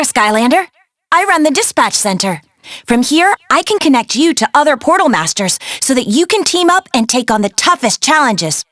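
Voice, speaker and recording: A heroic young adventurer woman in a video game, with a high and very lively voice. She is brisk and earnest, bossing and encouraging her team with real care, recorded close and dry. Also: dry recording. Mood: friendly, explaining. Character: spirited young female adventurer, bossy, caring, heroic. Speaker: video game character, dispatcher and guide. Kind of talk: animated video game voice acting.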